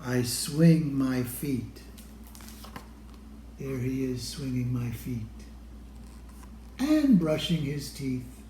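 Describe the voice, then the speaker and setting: A man reading a children's picture book aloud expressively, with deliberate phrasing and pauses. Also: mid-range voice, animated, echoey room, background noise. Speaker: storybook reader.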